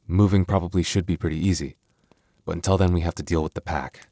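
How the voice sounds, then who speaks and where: Male actor as young werewolf boyfriend for intimate audio roleplay: low-pitched, dry close sound, teasing yet devoted and soft-hearted. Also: no room sound. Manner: conversational, teasing, halting when flustered.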